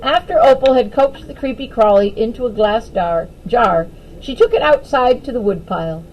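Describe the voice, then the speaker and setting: A woman narrates a picture book for children in a high voice. Her delivery is expressive and swings widely in pitch, with faint noise behind it. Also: moderate pace, rough recording. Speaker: storyteller reading aloud to children.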